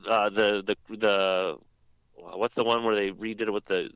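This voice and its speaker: A man chatting casually as a podcast panelist about video games, rambling and haltingly rephrasing himself. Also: fairly low pitch, fairly even intonation, fairly bright tone, smooth, no room sound. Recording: dry, dull lo-fi audio.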